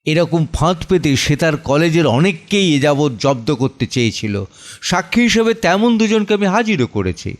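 A man voicing a forceful, contemptuous defence lawyer who addresses a judge in emphatic courtroom oratory, in an audio play. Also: fairly high pitch, lively intonation, fairly quick, voice pushed up, natural voice. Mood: scornful, insistent.

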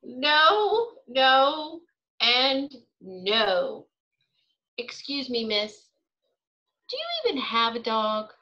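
A woman's voice: high-pitched, wildly swooping pitch, extremely slow, pauses often, pushed and bright, dull audio, heavily acted. A woman acting out a stubborn, exasperated young child in a storybook read-aloud, with emphatic, insistent delivery. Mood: indignant, firm.

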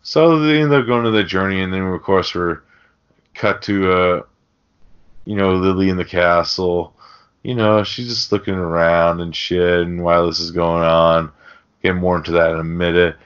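A man with a low voice chats casually as a podcast host recapping a film. His talk is slangy and full of swearing. The recording is a little dull and lo-fi. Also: steady tone, normal speed, smooth.

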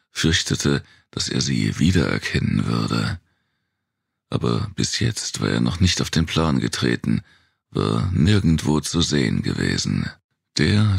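Very deep, gravelly male narrator. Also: no room sound, studio quality. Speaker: audiobook narrator. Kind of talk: scripted dramatic narration of dystopian fiction.

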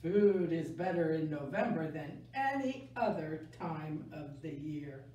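An older woman reading a picture book aloud to children in a gentle, deliberate way, stressing key words. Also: low voice, lively intonation. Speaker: library story time reader. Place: small indoor room, seated. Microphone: camera a few metres away. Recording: echoey room, distant mic, faint noise.

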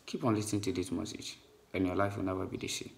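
A man hosting a channel, giving commentary to viewers in a quiet recording. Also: sits low, little pitch movement, fast, gravelly. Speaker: channel host.

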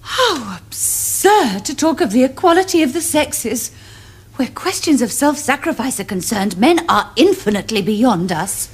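A woman's voice: high-pitched, big pitch swings, unhurried, breathy edge, full character voice. An actress playing a self-assured young society lady with an upper-class English accent and precise, affected delivery. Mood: impressed. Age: young adult. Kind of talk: period television comedy acting.

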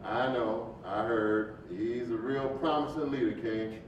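A man's voice: steady tone, measured pace, lightly acted. A man in a staged reading playing a jovial, impatient preacher who chides his friend in folksy banter. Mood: impatient, friendly. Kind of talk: staged play reading, conversational dialogue. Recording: dull, echoey, distant hall with rumble.

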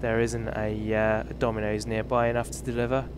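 A man's voice: lowish voice, flat delivery, brisk. A man in his thirties with a British accent, chatting casually while he streams a driving game, rambling and self-mocking. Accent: British English. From England. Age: 30s. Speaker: livestream host. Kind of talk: casual gaming livestream chat. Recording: game engine noise behind. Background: truck engine and road noise from the game.

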